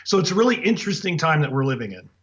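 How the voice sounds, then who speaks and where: A man speaking as a guest author, hoarse and raspy after the flu, earnest and full of fillers and restarts. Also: on the high side, very animated, racing, a bit bright. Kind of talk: casual interview talk. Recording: dull lo-fi audio.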